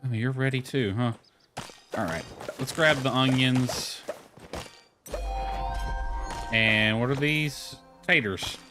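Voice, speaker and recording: A man's voice: mid-range voice, measured pace, over music. A man chatting casually on a gameplay livestream, thinking aloud as he plays. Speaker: game streamer. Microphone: headset.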